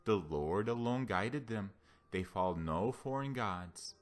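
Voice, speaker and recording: A man with a lowish voice reads scripture aloud in a solemn style. His phrasing is deliberate and declamatory. Soft music plays behind him. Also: lively intonation. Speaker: Bible reader. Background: background music.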